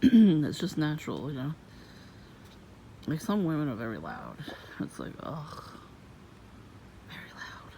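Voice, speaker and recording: A woman with a low voice, vlogging in a soft-spoken, rambling and suspicious way outdoors near her home. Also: wildly swooping pitch, unhurried, gravelly, pauses often. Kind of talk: casual rambling monologue. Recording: noisy outdoor ambience.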